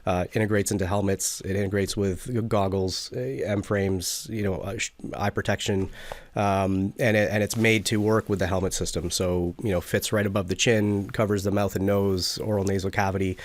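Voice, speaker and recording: A man in his forties with a Canadian accent, explaining things in a measured, technical way in an interview. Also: low-pitched, flat delivery. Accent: Canadian English. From Toronto area, Canada. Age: 40s. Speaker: podcast guest, military veteran and company CEO. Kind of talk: conversational interview answers.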